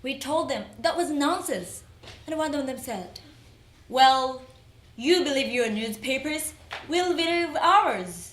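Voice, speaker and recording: A woman performing in readers theater, reciting dramatically in measured, declamatory phrases. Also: high voice, expressive. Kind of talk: dramatic recitation, acting out dialogue. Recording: roomy echo.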